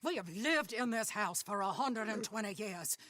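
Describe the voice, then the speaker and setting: An actress playing a proud, scornful old matriarch with a Southern US accent in a video game. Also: female voice, mid-range voice, expressive, moderate pace, a bit bright, natural voice. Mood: indignant. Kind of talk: video game cutscene acting.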